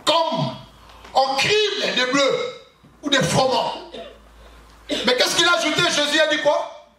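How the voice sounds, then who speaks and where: A male pastor preaching a sermon in a church hall, emphatic, with bursts of shouting and lots of repetition. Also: very high-pitched, animated, takes it slowly. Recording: echoey hall, far from mic, faint noise.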